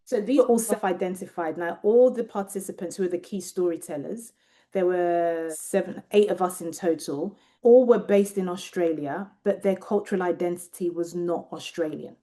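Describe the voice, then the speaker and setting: A British Nigerian woman with a British accent, a researcher talking thoughtfully in an interview and often pausing to find her words. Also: on the low side, mellow. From Nigeria and Britain. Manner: thoughtful, searching for words, many restarts. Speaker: guest researcher. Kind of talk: reflective interview conversation.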